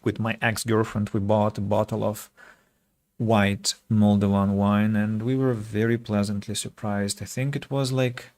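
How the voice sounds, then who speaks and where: A man from Russia with a Russian accent chats casually with viewers as a game streamer, speaking reflectively and pausing mid-sentence. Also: fairly low pitch, level tone, darkish tone, dry recording. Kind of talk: casual livestream chat with viewers.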